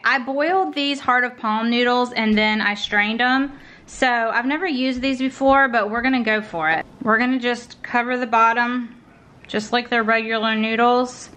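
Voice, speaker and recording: A woman's voice: on the high side, bright, forceful tone, a little hiss or hum. A woman in her thirties chatting through a cook-along in her home kitchen, often calling the viewers y'all. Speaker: cooking vlogger. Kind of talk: casual cook-along narration.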